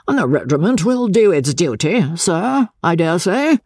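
A man puts on a wealthy old merchant with a coarse, bullying bluster, his pitch leaping high and low. The voice carries a gravelly, husky edge, set in a dry, close audiobook sound. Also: very animated, unhurried, darkish tone, dry recording, exaggerated voice.

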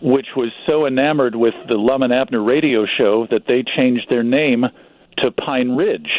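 A man's voice: mid-range voice, normal speed, dry recording, narrow, tinny audio. American man telling anecdotes in a dry studio. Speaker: magazine publisher and writer, radio guest. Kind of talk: anecdotal radio interview talk.

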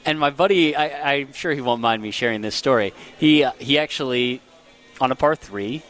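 A man co-hosting a live sports radio show at a golf tournament, telling stories in a relaxed, chuckling way. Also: mid-range voice, moderate pace.

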